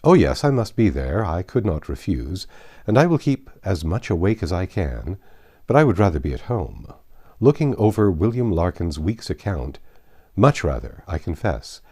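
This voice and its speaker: A man voicing a grumbling, indifferent Regency gentleman in a novel reading, dry and dismissive. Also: low-pitched, very animated, moderate pace, breathy edge, full character voice. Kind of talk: acted dialogue within a novel reading.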